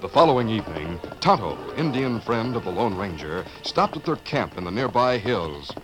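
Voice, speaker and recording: A man's voice: fairly low pitch, big pitch swings, moderate pace, rough recording. A man as the dramatic storyteller of a 1950s radio western, reading scripted narration. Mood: measured. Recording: old radio recording with prominent music.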